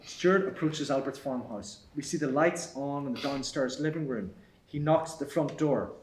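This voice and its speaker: A man reading stage directions aloud at a live table read, even and matter-of-fact, in an echoey theatre. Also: medium pitch, moderate pace, slight background noise. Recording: echoey hall.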